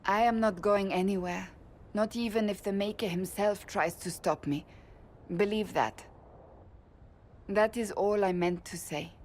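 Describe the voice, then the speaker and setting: A woman's voice: medium pitch, a little slow, a little mellow, lightly acted. Actress as an earnest, stern warrior woman, some noise. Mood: tender, resolute. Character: stern, blunt warrior woman with a hidden romantic streak. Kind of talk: video game cutscene acting.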